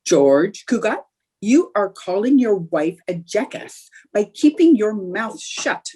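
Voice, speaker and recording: A stubborn, stagestruck wife from an old-time radio comedy , acted by a woman. Her voice is breathy-rough and mellow, with very animated intonation. She is coaxing, indignant and theatrical, always scheming. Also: medium pitch, slow, exaggerated voice. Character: Liz, scheming, stubborn, stagestruck 1940s housewife. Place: remote video-call production.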